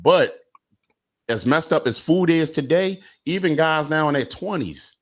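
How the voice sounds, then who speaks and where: A man's voice: a little high, lively intonation, a little slow. Laid-back middle-aged man hosting. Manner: laid-back, rambling with fillers. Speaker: host. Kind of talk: casual podcast chat. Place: Atlanta.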